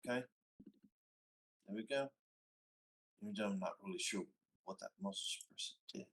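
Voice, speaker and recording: A man talking casually as a player in a tabletop game, in a measured, polite way. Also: lowish voice, fairly bright tone, husky.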